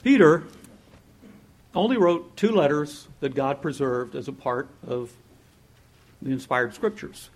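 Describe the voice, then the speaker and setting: A man preaching a sermon in a lively, conversational way, often laughing and acting out what people might say. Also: on the high side, a little slow, long pauses. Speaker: pastor.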